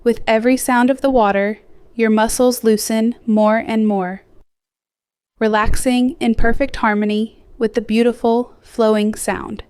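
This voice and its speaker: Clear, smooth woman guiding sleep meditation. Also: fairly high pitch, steady tone, no room sound, clean studio sound. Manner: slow, gentle, soothing delivery with soft phrase endings. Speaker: hypnosis guide. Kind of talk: guided sleep meditation, scripted hypnosis narration.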